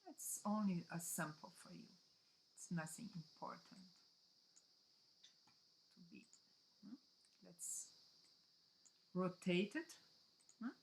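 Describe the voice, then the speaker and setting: A woman from Brazil with a Brazilian Portuguese accent, whose first language is Portuguese, walking viewers through a software tutorial in halting English and often checking in with "okay?". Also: on the low side, a little hiss or hum, rough recording. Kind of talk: screen-recorded software tutorial.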